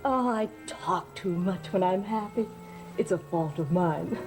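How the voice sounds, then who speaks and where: A woman's voice: lowish voice, measured pace, over music. An actress playing a talkative, happy lady of the house who gushes as she speaks, from an old television soap opera. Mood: gushing. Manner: gushing, talkative, breathy with excitement. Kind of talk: 1970s soap opera acting.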